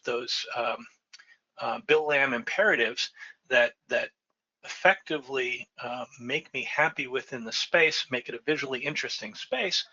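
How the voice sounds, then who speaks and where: A man's voice: a little high, bright, forceful tone, muffled recording. A middle-aged man presenting a slide lecture from his home office, talking conversationally and checking in with "right?" as he goes. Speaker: lighting designer presenting a webinar. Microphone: webcam and computer mic on a video call.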